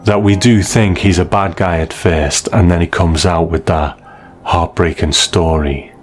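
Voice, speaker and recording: Deep-voiced man giving episode commentary. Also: steady tone, measured pace. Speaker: host reviewing a classic TV episode. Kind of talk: conversational scripted commentary. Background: faint music.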